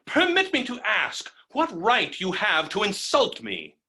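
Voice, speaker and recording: An actor plays a belligerent, boorish gentleman in a theatrical farce. His voice is sharp and forceful, with very animated pitch. He argues pompously and combatively. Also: unhurried, full character voice. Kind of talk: theatrical staged reading of a classic farce. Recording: home recording over video call.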